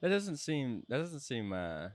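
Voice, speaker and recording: A man's voice: medium pitch, very animated, measured pace, no room sound. A young man hosting a casual interview, asking his questions in a tentative, hesitant way. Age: young adult. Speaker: podcast host and interviewer. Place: small indoor lounge, on a leather couch. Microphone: close podcast microphone with headphones.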